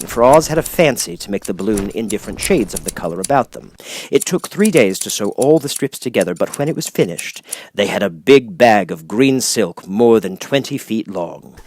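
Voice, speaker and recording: A man's voice: lowish voice, slight background noise. A man reading a children's story as scripted audiobook narration. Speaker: audiobook reader.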